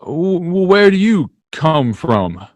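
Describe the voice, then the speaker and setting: Agitated husband at the end of his rope, male actor, emotional radio-play delivery, slightly high pitch. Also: sing-song, slow pace, a little mellow, dry recording. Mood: dejected, bewildered. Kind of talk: old-time radio play acting.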